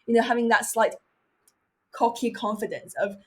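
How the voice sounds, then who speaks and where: A woman's voice: fairly high pitch, steady tone, moderate pace, slightly rough. A young woman from mainland China, a non-native English speaker, giving earnest, explanatory advice as a podcast guest and stressing points by repeating them. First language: Chinese. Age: young adult. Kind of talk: reflective interview talk with advice.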